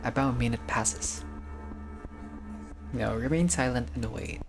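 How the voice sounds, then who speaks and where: Male let's-player, medium pitch, reads game prose aloud and chats casually between passages. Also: unhurried, over music. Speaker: gamer narrating a visual novel playthrough. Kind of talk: reading game text aloud with casual commentary.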